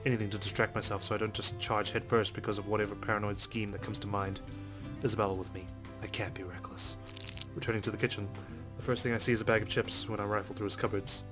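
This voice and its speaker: Male let's play host narrating game text: rapid, lowish delivery, casual, music clearly underneath. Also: flat delivery. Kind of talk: reading game narration aloud with casual asides. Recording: music behind the voice.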